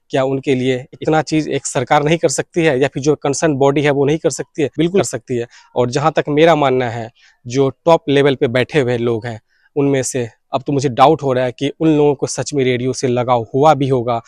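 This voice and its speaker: A man's voice: medium pitch, a little mellow, no echo. Indian man, dry close sound, earnest appeal. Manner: Hindi mixed with English words. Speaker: YouTube host and radio enthusiast. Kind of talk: earnest campaign appeal to camera.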